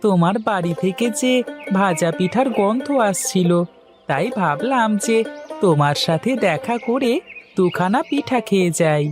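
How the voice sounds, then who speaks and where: Cartoon role, actor doing an easygoing chatty young villager, mid pitch, faint music. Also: expressive, measured pace, natural voice. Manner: chatty, good-natured. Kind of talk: cartoon voice acting.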